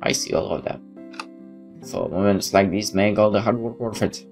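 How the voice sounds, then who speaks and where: A man's voice: on the low side, steady tone, normal speed, gravelly. A man hosting a gaming video, reading game text aloud and adding casual comments. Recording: faint game music behind the voice.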